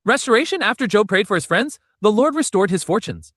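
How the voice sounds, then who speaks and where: A man reading a scripted narration of an old story in a steady, storytelling way, with section headings. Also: high-pitched, a little fast, no room sound, clean studio sound.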